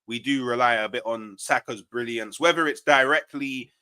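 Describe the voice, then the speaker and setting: A man's voice: medium pitch, moderate pace, sharp tone, clear, resonant tone. A man in casual, slangy football banter as a fan panellist, often tagging his points with "innit". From England.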